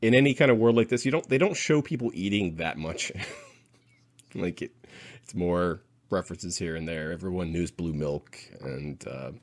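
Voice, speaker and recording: A man's voice: low-pitched, lively intonation, normal speed. A man co-hosting a podcast in casual, rambling, joking banter. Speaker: podcast co-host. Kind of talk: casual chat.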